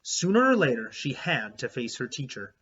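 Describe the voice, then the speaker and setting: A man reading a children's book aloud like a teacher, in an animated, emphatic storytelling way with asides to young listeners. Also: mid-range voice, moderate pace, slightly rough, highs cut off.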